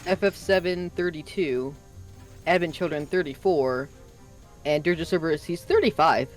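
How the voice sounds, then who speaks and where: Young woman, low voice, relaxed gameplay chat, a bit flat at times. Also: normal speed, soft background music. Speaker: gamer. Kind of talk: casual let's-play chat.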